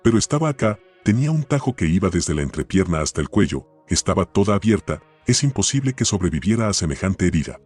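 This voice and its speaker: An actor with an Argentine accent playing a shaken, queasy police officer in a radio drama, with a husky voice. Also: male voice, low-pitched, big pitch swings, fast talker, few pauses, a little mellow, full character voice. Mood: bewildered, defensive.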